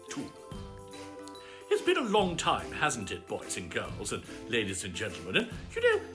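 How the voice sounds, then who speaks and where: A performer voicing a pompous aristocratic cat lord, gushing theatrically and affectionately as host of a live bedtime story show. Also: medium pitch. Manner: pompous, gushing, luvvie exclamations. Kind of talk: theatrical livestream greeting and shout-outs.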